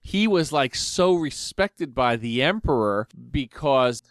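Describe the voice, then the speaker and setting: A man's voice: mid-range voice, expressive, slow pace, clear, resonant tone, dry recording, studio quality. A man co-hosting a show, chatting in an informal, jokey way as a fan. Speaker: podcast co-host. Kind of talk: casual fan banter.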